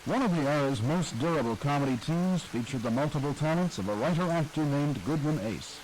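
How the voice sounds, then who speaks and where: A man giving scripted, measured narration about the history of radio. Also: a little high, animated, a little mellow, slight background noise, rough recording. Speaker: documentary narrator.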